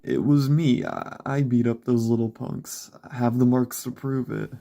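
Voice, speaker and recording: A man playing a nonchalant teenage brother in an amateur horror story reading, with a gravelly voice. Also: medium pitch, fairly even intonation, unhurried, warm tone. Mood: resigned, cool. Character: teenage brother coolly taking the blame. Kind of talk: amateur creepypasta dramatized reading.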